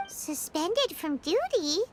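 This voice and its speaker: A woman voicing a small, chirpy, childlike fairy companion in a video game, with expressive delivery. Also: extremely high pitch, slow, music in the background, heavily acted. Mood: curious.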